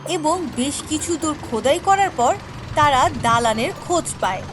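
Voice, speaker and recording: A woman narrating a cartoon story in a clear storytelling manner. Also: high voice, animated, normal speed, background noise.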